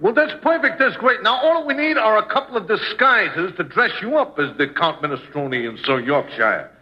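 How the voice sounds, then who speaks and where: Pushy schemer buddy, high voice swooping all over, old radio comedy. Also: male voice, expressive, moderate pace, slight background noise. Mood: brash, confident. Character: brash, scheming comic pal of the duo. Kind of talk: 1950s radio comedy acting. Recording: muffled vintage radio broadcast.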